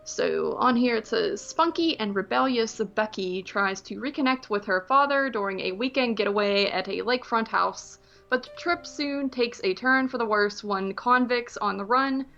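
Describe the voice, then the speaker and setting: Woman chatting casually, slightly gravelly voice. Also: on the high side, moderate pace, fairly bright tone, lo-fi sound, faint music behind. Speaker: movie podcast co-host. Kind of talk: casual movie chat.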